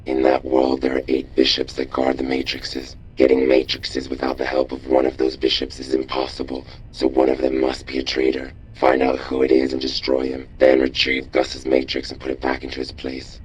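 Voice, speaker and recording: A man playing a grave, commanding robot mission controller in a video game, terse and deadpan as he gives briefings. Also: rumbling low, moderate pace, hardly any pauses, a little hiss or hum, low-quality recording. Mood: instructive.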